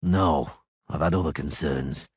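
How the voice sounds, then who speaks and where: A man voicing a terse grown male monster hunter who speaks low and curtly. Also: unhurried, breathy edge, no room sound, clean studio sound, heavily acted. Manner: low, curt and flat.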